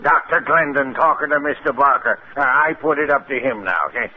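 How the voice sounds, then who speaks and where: An actor playing an excitable, quarrelsome village man with a rustic American accent in 1950s radio style. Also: medium pitch, a little fast, natural voice. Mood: badgering, excited. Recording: phone-like 1950s radio recording.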